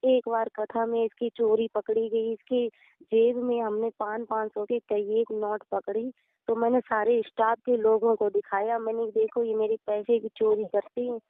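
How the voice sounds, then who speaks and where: A woman talks over a thin, dry telephone connection in a slightly high voice. She sounds aggrieved and pleading as she explains her side, staying deferential to the listener. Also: flat delivery, moderate pace, dry recording. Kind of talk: phone conversation giving her side. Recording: narrow tinny phone-call recording.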